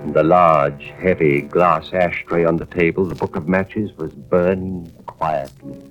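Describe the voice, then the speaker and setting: A muffled vintage radio recording with music carries a weary, anxious husband. He has a lowish voice and tells his suspense story in a measured, dramatic first-person style. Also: steady tone. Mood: tense, brooding. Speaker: narrator and lead actor. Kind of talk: 1940s-50s radio suspense acting.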